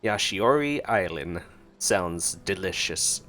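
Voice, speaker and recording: A man's voice: sits low, expressive, takes it slowly, pushed and bright. A man doing casual gameplay reaction commentary as a streamer, playful and joking as he reads and responds to dialogue. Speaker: gaming streamer. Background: game music.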